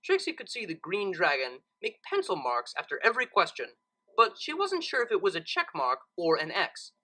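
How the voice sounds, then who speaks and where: A woman's voice: fairly low pitch, wildly swooping pitch, moderate pace, bright, forceful tone. A woman who hosts story readings, moving between narration and casual chat. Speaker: fan fiction reader and channel host.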